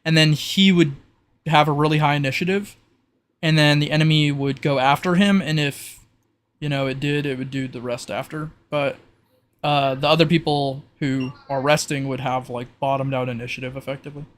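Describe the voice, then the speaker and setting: A man running an online tabletop roleplay game as game master, halting as he thinks aloud. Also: fairly high pitch, fairly even intonation.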